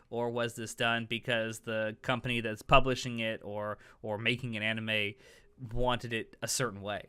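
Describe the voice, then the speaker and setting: A male podcast host with a bright, crisp voice at a middle pitch, recorded close and dry. He talks casually and ramblingly, with a jokey streak. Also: no echo.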